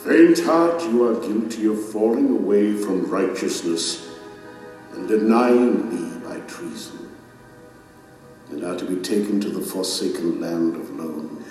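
A low male voice is a majestic king sitting in judgment in an audio drama. He sounds stern, solemn and measured, in a huge reverberant hall with faint music. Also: animated, a little mellow. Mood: condemning. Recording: very echoey hall, distant, faint music behind.

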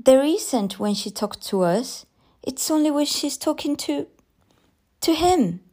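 An anxious young mother with fraying nerves acted by a woman narrator in a storybook. She sounds tense and emotional, her pitch lifted and very changeable. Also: expressive, a little slow, warm tone. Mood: uneasy.

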